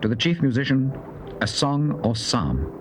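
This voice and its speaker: A clearly noisy cassette recording of a man making formal, scripted title announcements. His pitch moves in a lively way as he reads each heading. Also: a little slow.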